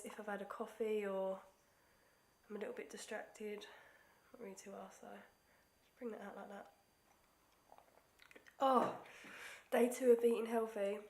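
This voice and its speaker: A woman's voice: medium pitch, darkish tone. A young woman with a stuffy nose from a cold, chatting casually through a makeup tutorial and sometimes dropping to near-whispers as she concentrates. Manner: chatty, self-deprecating, drops to near-whispers while concentrating. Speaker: beauty vlogger. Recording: echoey room.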